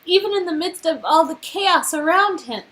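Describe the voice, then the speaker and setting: A woman's voice: very high-pitched, animated, normal speed, fairly bright tone, voice pushed up. A woman voicing an eager, sweet young woman painter who gushes about art in a story game. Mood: earnest.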